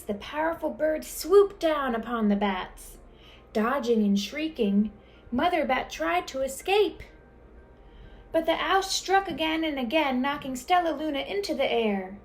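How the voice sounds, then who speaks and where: High, animated woman storyteller. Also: rough recording. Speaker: storyteller reading aloud to children. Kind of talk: expressive children's storybook narration. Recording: clear background noise.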